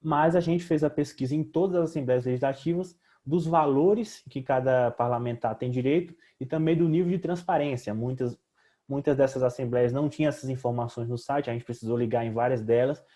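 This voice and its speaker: A man's voice: medium pitch, fairly even intonation, fairly quick, dark tone. A young man with a Brazilian accent giving a semi-formal, explanatory project presentation as a student, repeating words hesitantly as he goes.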